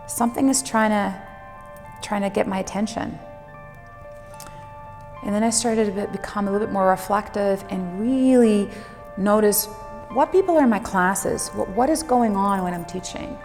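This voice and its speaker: A woman telling her personal story reflectively, unhurried and emphatic, with dramatic pauses. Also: medium pitch, darkish tone. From Netherlands. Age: 40s. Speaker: fitness instructor and speaker. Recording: long reverberant hall, music underneath. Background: music.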